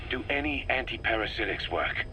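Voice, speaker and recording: A man's voice: medium pitch, animated, brisk, bright, forceful tone. A man playing a tough, pragmatic military commander in a video game, speaking in a terse, firm, businesslike way. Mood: concerned, terse. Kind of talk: dramatic video game voice acting. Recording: faintly noisy field tape.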